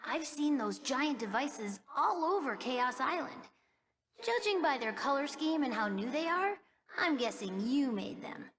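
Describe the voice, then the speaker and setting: Earnest young boy fox sidekick, high voice, thoughtful game delivery, long reverb. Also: very animated, a little slow, a bit bright, heavily acted. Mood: curious. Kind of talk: video game cutscene acting.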